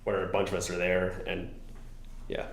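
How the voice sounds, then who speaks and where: Deep gravelly man at a town meeting. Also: monotone, normal speed. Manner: loud, eager, rambling. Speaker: committee member. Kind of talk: public meeting discussion. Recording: echoey meeting room, faint noise.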